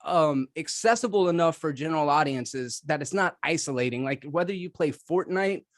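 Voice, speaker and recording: Higher-pitched man making a conversational case. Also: normal speed, dry recording, clean studio sound. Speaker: debater on a movie show. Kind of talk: conversational persuasive film argument.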